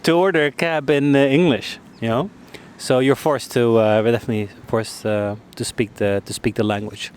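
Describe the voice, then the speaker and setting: A man's voice: medium pitch, steady tone, moderate pace, hardly any pauses, slight background noise. A man interviewing a guest outdoors in a park, talking loosely with many restarts and "you know"s. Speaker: street interviewer.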